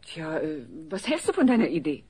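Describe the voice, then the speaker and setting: A woman's voice: sits low, sing-song, brisk, darkish tone, breathy edge, exaggerated voice. An actress playing a worried, weary suburban wife and mother in a comedy audio play. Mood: polite. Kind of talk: German sitcom audio play dub. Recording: noisy old cassette recording.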